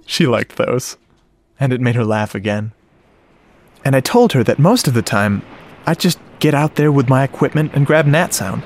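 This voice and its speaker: A man playing a shy, earnest, nerdy sound-effects man, telling his story conversationally and haltingly in a radio drama, with a wistful manner. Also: medium pitch, lively intonation. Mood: wistful, smitten. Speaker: narrator and lead character. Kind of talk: first-person radio drama monologue. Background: music and sound effects.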